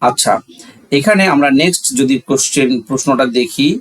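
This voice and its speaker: A man's voice: medium pitch, a little fast. A man with a Bangladeshi accent teaching a lesson step by step, repeating key phrases and asking questions that he answers himself. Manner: didactic, asks and answers own questions. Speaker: English grammar teacher. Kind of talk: classroom-style lesson explanation.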